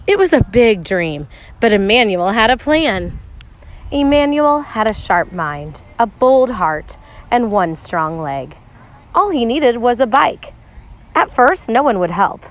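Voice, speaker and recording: A woman narrating a children's storybook aloud, expressively, with measured phrasing and dramatic pauses for emphasis. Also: medium pitch. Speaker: teacher reading a picture book aloud.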